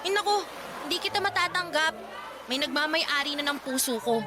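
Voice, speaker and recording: A woman's voice: high-pitched, expressive, brisk, noisy background. A woman playing a blunt, dismissive teenage schoolgirl in a radio drama, with curt, exasperated delivery. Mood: exasperated, rejecting. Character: blunt, irritable teenage schoolgirl brushing off her suitor. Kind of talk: Tagalog radio drama acting.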